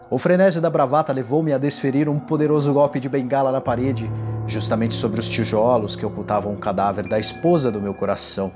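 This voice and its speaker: A man playing an unhinged, gloating condemned murderer who recounts his crime in a deliberate, theatrical gothic horror reading. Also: medium pitch, natural voice. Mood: horrified, doomed. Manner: theatrical, deliberate, swinging from hushed to exultant.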